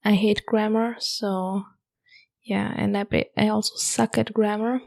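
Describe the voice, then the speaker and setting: A young woman, hosting a podcast and chatting casually about a book in a hushed half-whisper, late at night at home. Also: medium pitch, little pitch movement, measured pace, vocal fry. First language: German. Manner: hushed, half-whispered talk to avoid waking roommates. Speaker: podcast host.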